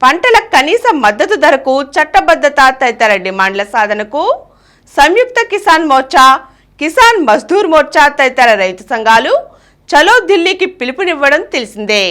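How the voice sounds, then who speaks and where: A woman newsreader with a high, bright voice that moves a lot in pitch. She reads her bulletin in a measured way and lifts each headline. The tone is clear and forceful. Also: very animated. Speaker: news reader. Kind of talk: read news bulletin.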